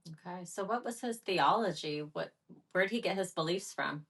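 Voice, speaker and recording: Woman, podcast host, lowish voice, calm questions. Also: normal speed. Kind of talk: interview questions.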